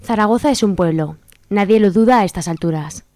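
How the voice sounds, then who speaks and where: A woman's voice: mid-range voice, brisk, a little mellow. A woman narrating a comic radio sketch in a wry, slangy manner.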